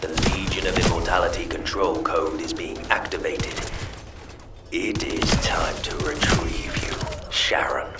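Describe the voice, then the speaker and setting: An actor playing a cold, gloating villain scientist in a video game, speaking in a measured, menacing, condescending way. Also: male voice, sits low, recorded from a distance. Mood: cold, composed. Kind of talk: dramatic video game voice acting. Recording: roomy echo, loud music and gunfire.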